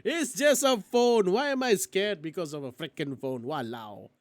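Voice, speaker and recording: A woman's voice: fairly low pitch, moderate pace, dry recording. A woman reading a game aloud on a stream, theatrical, with casual asides and exclamations. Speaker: streamer playing a visual novel.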